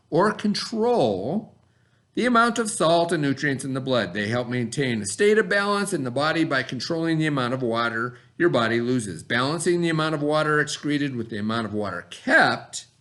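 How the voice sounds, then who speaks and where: A man's voice: a little high, a bit bright. A middle-aged man reading a lesson aloud to children as their teacher, with simple, instructive explanations.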